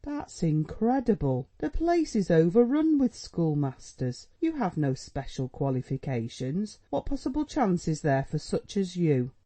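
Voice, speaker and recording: A man's voice: on the high side, sing-song, measured pace, few pauses, mellow, voice pushed up. A man reading a novel aloud, voicing a proud, anxious middle-aged mother who scolds her son and pleads with him. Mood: scornful. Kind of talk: audiobook dialogue reading.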